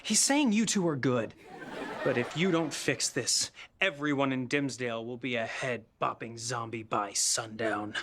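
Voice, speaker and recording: A voice actor playing the bossy leader of a council of pop stars, with a brisk, deadpan delivery. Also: mid-range voice, a little hiss or hum, close to an everyday voice. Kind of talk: cartoon voice acting.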